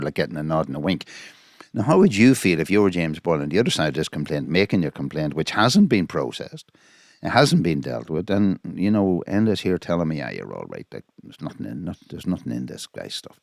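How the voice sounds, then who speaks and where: Very low-pitched indignant Irish man. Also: darkish tone, no room sound, clean studio sound. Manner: indignant, emphatic, repetitive. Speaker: commentator analysing a recorded phone call. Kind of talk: informal spoken analysis.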